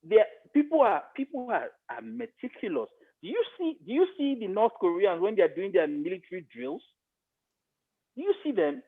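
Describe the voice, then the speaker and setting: A man's voice: high voice, big pitch swings, normal speed, vocal fry, husky, narrow, tinny audio. A man with a Nigerian accent giving his political opinions emphatically, repeating phrases for stress.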